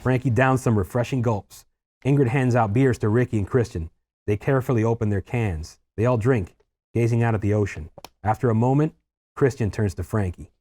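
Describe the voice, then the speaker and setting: A man's voice: mid-range voice, fairly quick, mellow. A man reading stage directions aloud as narrator at a screenplay table read.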